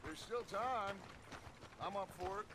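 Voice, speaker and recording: A man's voice: sits high, a little slow, noisy background. A man playing a confident middle-aged American outlaw gang leader in a video game, talking in a grandiose, persuasive way. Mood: relaxed, jovial. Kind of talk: video game cutscene acting. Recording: outdoor ambience and hoofbeats behind voice.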